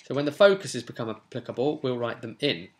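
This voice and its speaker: A man's voice: medium pitch, animated, a little fast. A young man hosting a casual tabletop role-playing tutorial as game master, chatty and given to jokey asides, recorded at home in a small room. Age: young adult. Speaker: game master and channel host.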